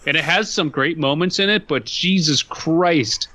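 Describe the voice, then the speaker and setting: A man's voice: fairly high pitch, a little slow, pushed and bright. A man co-hosting a podcast, ranting casually in an emphatic, exasperated, sweary way. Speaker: podcast co-host.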